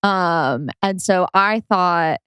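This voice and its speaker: A woman's voice: on the low side, very slow, fairly bright tone, clean tone, no echo, studio quality. A woman guest on a podcast, chatting casually in a hesitant, self-effacing way. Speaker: podcast guest, cast member. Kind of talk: casual chat. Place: indoors on a couch.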